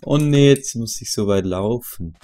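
A man's voice: medium pitch, lively intonation, a little slow, warm tone. A man chatting casually while gaming, swearing and laughing easily at his mishaps. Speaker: Let's Play host. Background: faint game music and keyboard typing.

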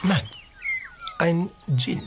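A male actor playing an eccentric film star in a German audio drama, dramatic and savoring his words with pauses. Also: on the high side, over loud music. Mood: solemn. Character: theatrical, eccentric film actor pining for his escaped genie.

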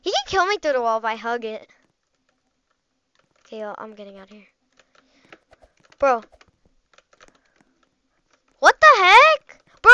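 A woman giving casual, slangy running commentary while playing a video game, reacting out loud as things happen. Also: extremely high pitch, no room sound, clean studio sound. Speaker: gameplay streamer.

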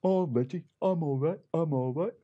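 A cocky little storybook crab, voiced by a man, high and husky. He repeats himself and makes empty threats in rough grammar, with a slightly dull sound. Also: normal speed, warm tone, natural voice. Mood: friendly. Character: small cocky male land crab, full of empty threats.

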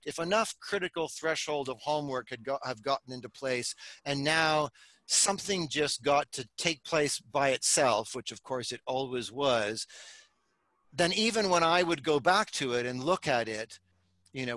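A man's voice: a little high, no echo. An older man on a headset mic in a home study, talking animatedly in a casual chat. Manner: animated, gestures while talking, many restarts. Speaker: podcast host. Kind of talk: casual conversation.

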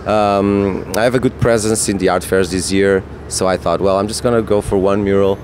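Portuguese man, non-native English speaker, low-pitched, halting with fillers, outdoor rooftop interview. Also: fairly even intonation, a little hiss or hum. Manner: hesitant, lots of fillers and restarts. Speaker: guest artist. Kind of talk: casual interview chat. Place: hotel rooftop terrace outdoors. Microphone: handheld mic.

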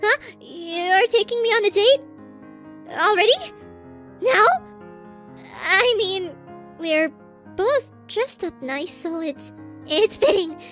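A woman's voice: very high-pitched, expressive, takes it slowly, pauses often, a bit bright, faint music behind, exaggerated voice. A woman playing a sweet, shy, lovestruck student girl with a feisty streak in an anime ASMR roleplay. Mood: flustered, tender. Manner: bashful, hesitant, flustered.

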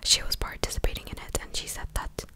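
Young female ASMR host, fully whispered, chatty and informal delivery, close-miked at home. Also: fast talker. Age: young adult. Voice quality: breathy, unvoiced whisper. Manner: informal, conversational, opinionated. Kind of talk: whispered ASMR commentary, casual chat. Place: small indoor room at home. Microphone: close desktop microphone.

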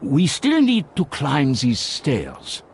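An actress voicing a kind, gently reassuring grown-up rabbit parent in a children's cartoon. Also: female voice, sits low, wildly swooping pitch, takes it slowly, heavily acted.

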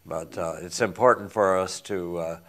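An older man hosting a community television interview in a small studio, speaking gently with many short hesitations into a lapel mic. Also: sits low, normal speed. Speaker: host.

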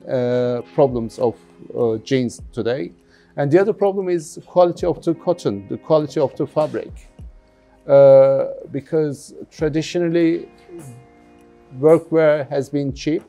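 A middle-aged man from London giving thoughtful interview answers as a business founder, haltingly and often repeating words. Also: a little high, gravelly, mellow, faint music behind. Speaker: jeans factory founder being interviewed.

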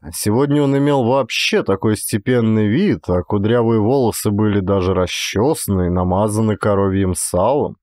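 A man reading a literary story as an expressive audiobook narration. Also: fairly low pitch, moderate pace, hardly any pauses, dry recording, studio quality.

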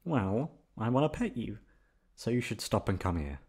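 A man's voice: fairly low pitch, sing-song, normal speed, a little mellow, breathy edge. A man acting as a teasing, affectionate boyfriend in an intimate ASMR roleplay, coaxing and pleading playfully. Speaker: voice actor performing an audio roleplay.

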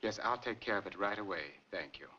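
A man's voice: lowish voice, lively intonation, a little fast, natural voice. A middle-aged actor playing a polite, matter-of-fact monument salesman in 1940s film style. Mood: polite, businesslike. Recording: noisy, dull 1940s film soundtrack.

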